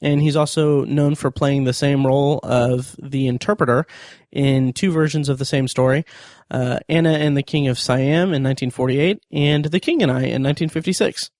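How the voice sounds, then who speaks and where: A man hosting a review podcast, talking through notes and opinions in a somewhat halting, hesitant way. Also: medium pitch, fairly even intonation, a little mellow, no room sound, studio quality. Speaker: podcast host.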